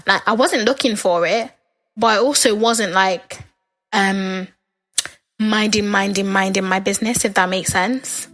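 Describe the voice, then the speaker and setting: A young woman, hosting her podcast in a casual, animated solo chat and a little hoarse from talking. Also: measured pace, faint music behind. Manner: animated, chatty, acts out quoted lines with big pitch jumps. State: slightly hoarse, losing her voice. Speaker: podcast host.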